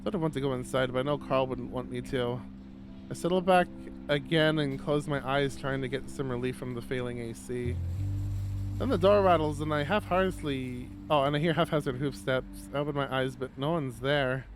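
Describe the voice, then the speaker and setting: A man reading a visual novel aloud on a stream, casual and expressive, with rambling conversational asides. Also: mid-range voice, normal speed, background noise.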